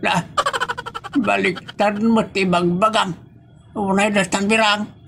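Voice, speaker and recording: A man's voice: high-pitched, level tone, slow, gravelly, background noise, heavily acted. A man voicing a grumbling middle-aged husband and father in a comedic audio drama, scolding and teasing his family.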